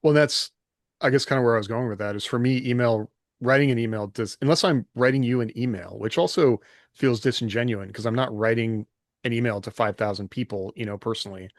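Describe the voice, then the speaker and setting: A man's voice: medium pitch, brisk, no echo, studio quality. A man co-hosting a podcast in a casual, conversational style. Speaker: podcast co-host and fiction writer.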